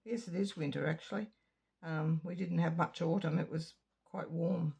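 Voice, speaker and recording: A woman with a slightly croaky throat giving a chatty card-making tutorial, drifting into asides while she works. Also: fairly low pitch, steady tone, normal speed, darkish tone. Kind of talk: casual craft tutorial. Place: home craft room.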